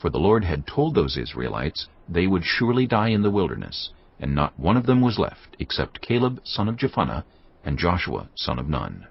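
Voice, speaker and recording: A man narrating scripture from a script in a solemn, deliberate manner. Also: deep voice, wildly swooping pitch, vocal fry, husky. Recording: slightly muffled, music underneath. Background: background music.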